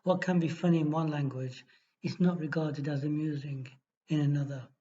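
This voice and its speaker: Guy reading an essay out slow and even, kinda high voice, muffled lo-fi sound. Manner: steady, measured reading with small restarts. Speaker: exam tutor. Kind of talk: reading a model essay aloud. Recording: dull lo-fi recording.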